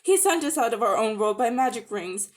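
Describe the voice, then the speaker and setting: A woman's voice: fairly high pitch, animated, brisk, natural voice. A woman voicing an earnest, guilty young boy who speaks hesitantly, politely and pleadingly. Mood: nervous.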